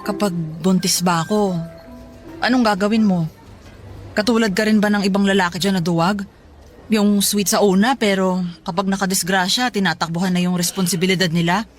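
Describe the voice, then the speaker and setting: A woman playing a sharp-tongued, teasing young girlfriend in a radio drama, with a wry, pointed delivery. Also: mid-range voice, fairly even intonation, fairly quick, faint music behind, lightly acted. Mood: serious, challenging. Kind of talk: Tagalog radio drama acting.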